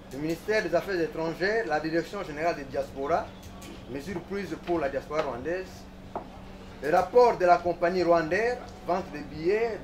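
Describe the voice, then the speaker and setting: A man with a slightly high voice addresses a court as prosecutor. He lists evidence in a formal, deliberate, emphatic way. The sound is echoey and picked up from a distance in the courtroom. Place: large indoor courtroom. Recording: echoey courtroom, distant mic, faint noise.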